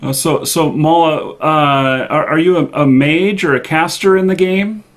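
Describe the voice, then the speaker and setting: A man's voice: on the high side, unhurried. A man hosting an interview show, asking questions hesitantly. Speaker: show host.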